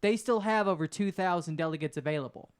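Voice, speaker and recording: A man's voice: sits high, fast, clear, resonant tone. A man co-hosting a podcast, chatting casually about politics. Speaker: podcast co-host.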